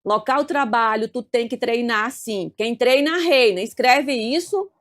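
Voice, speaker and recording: A woman's voice: on the high side, unhurried. A woman from Northeast Brazil with a Northeastern accent, giving emphatic coaching-style advice as a studio guest and stretching out key words. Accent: Northeastern Brazilian Portuguese. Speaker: guest speaker. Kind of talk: motivational studio talk.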